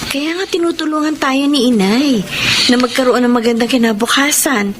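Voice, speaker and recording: A hopeful young wife and mother, played by a woman in a Filipino radio drama. She speaks earnestly in a highish voice over clearly noisy audio. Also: normal speed, close to an everyday voice.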